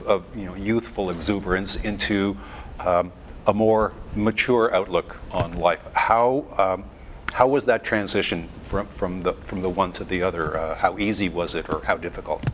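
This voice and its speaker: A man's voice: fairly low pitch, fairly even intonation, normal speed, slight background noise. A man hosting an interview at a concert venue, asking questions with hesitant repeats. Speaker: interviewer, show host.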